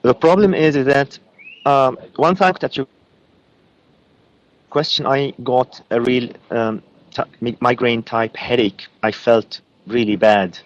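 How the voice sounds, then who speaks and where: A man from Lebanon with an Arabic-accented voice, giving psychic readings as a guest and pausing often with fillers. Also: medium pitch, rough recording. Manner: halting, many uh and um fillers.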